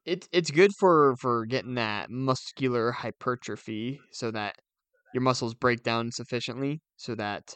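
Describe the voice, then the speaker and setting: A man's voice: medium pitch, unhurried, fairly bright tone, dry recording. A man co-hosting a casual podcast, explaining things with enthusiasm. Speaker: podcast co-host.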